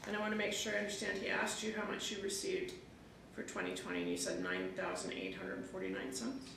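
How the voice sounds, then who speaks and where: A woman judge with a very gravelly voice asks formal questions of a witness. She sits some way back from the mic, so her voice comes through distant and strongly echoey. Steady noise hangs behind her words. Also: medium pitch, brisk. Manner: formal, addresses the witness as ma'am. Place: courtroom.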